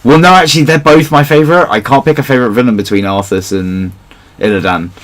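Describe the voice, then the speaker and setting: A man's voice: mid-range voice, fast. A man hosting a casual pop culture podcast, talking like a keen fan with friends. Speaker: podcast host.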